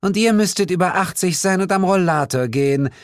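Ein Mann spricht in einem Hörbuch ein freches, trotziges Teenager-Dämonenmädchen mit gelangweilter Art. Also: male voice, high voice, fairly quick, clean tone, no echo, clean studio sound, pitched above the performer's own voice, natural voice. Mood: cheeky. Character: cheeky, sullen, defiant teenage demon girl.

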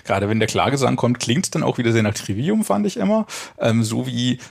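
A man reviews an album in a conversational way, as a podcast co-host. His voice sits at an average male pitch and often drops into a gravelly creak. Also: animated, brisk.

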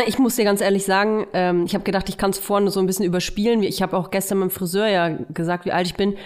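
A woman's voice: mid-range voice, quick pace. A woman in her early thirties co-hosting a podcast, chatting casually and poking fun at herself. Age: early 30s. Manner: self-mocking, animated, laughs at herself. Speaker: podcast co-host.

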